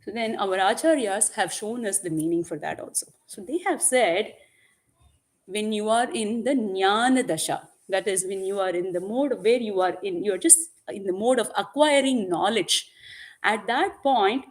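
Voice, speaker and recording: A woman teaching a group about devotional texts, with Tamil as her first language. She explains patiently, poses questions to her listeners and answers them, and uses Tamil and Sanskrit words throughout. Her voice sits at an ordinary pitch for a woman. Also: normal speed. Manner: mixes in Tamil and Sanskrit terms. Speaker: religious teacher.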